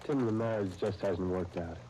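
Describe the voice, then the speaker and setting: A man playing a gentle, earnest young husband in a 1930s radio serial. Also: deep voice, normal speed, close to an everyday voice. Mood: earnest, troubled. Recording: noisy 1930s radio broadcast disc.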